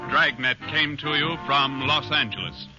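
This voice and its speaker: Formal American announcer, vintage radio. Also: male voice, medium pitch, steady tone. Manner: formal, measured. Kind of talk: 1940s-50s scripted radio announcing. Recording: dull old radio recording with music.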